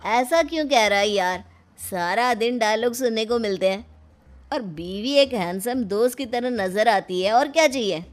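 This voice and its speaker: A woman's voice: fairly high pitch, lively intonation, moderate pace, lightly acted. A woman voicing bold, teasing young characters in a Hindi cartoon story.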